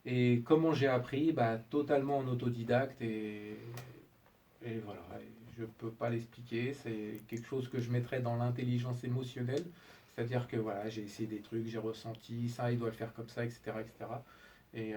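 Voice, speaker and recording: A middle-aged man explains his craft as an interviewed musician, informal and colloquial, halting and correcting himself. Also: mid-range voice, little pitch movement, warm tone. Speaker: interviewed musician, talkbox player and producer. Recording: distant mic, noisy room background.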